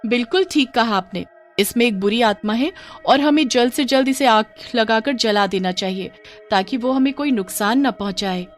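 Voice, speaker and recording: A woman's voice: fairly high pitch, normal speed, soft background music, close to an everyday voice. A woman voicing a young girl cousin in a children's horror cartoon. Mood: smooth, persuasive. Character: young girl cousin, sweet, later slyly possessed.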